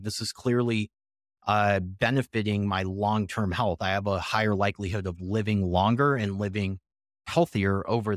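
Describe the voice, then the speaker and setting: A man around thirty speaking as a podcast guest, explaining research in a measured, conversational way with pauses between phrases. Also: fairly low pitch, no echo, studio quality. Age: 30s.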